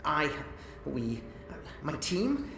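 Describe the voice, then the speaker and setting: A woman's voice: sits low, big pitch swings, extremely slow, gravelly, heavily acted. An actress playing a nervous young female engineer who stammers and rambles. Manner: stammering, jittery and talkative. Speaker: lead engineer. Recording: roomy and distant with game music.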